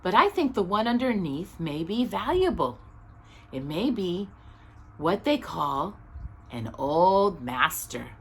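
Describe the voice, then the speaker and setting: A man's voice: high-pitched, big pitch swings, slow pace, a bit bright, a little hiss or hum, heavily acted. A woman voicing a proud, kindly older male shopkeeper with a secretive streak in a children's storybook. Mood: secretive, excited.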